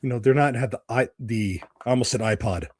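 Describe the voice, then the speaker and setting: A man's voice: medium pitch, a little fast, husky, no echo. American male podcast host, dry sound. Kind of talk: casual interviewing.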